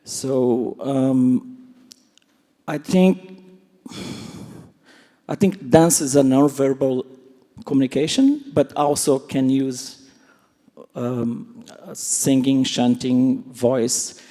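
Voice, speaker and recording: A man in his sixties whose first language is Portuguese talks after a performance, halting and searching for words but openly heartfelt. His voice is dark and mellow. A long reverb from a theatre hall hangs on every word. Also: medium pitch, fairly even intonation. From Brazil. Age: 60s. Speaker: choreographer and dancer. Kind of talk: post-show talkback.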